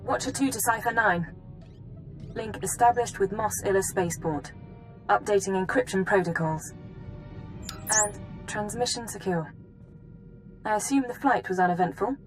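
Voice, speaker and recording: An actress playing a brisk, composed intelligence officer in a video game, speaking in a formal, clipped and matter-of-fact way. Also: female voice, mid-range voice, over music, natural voice, low-quality recording. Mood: brisk, businesslike. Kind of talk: video game dialogue, mission briefing.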